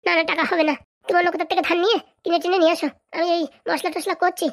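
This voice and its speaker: Thrifty, scolding comedy-cartoon wife, acted by a woman: high, rapid-fire, exasperated delivery. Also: flat delivery. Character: thrifty, scolding wife refusing her husband money.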